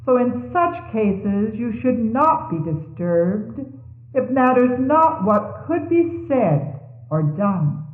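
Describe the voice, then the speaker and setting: Slow, high-voiced woman reading sermons, thin echoey tape. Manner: measured, deliberate, formal. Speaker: religious reader. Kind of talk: solemn scripture-like reading aloud of sermon messages. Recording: 1970s tape, thin phone-like band, echoey room.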